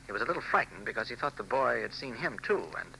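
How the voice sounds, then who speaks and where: A man playing a steady, concerned newspaper reporter in a 1940s radio drama. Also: medium pitch, creaky, slight background noise, heavily acted, low-quality recording. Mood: explaining. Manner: brisk, steady, reassuring.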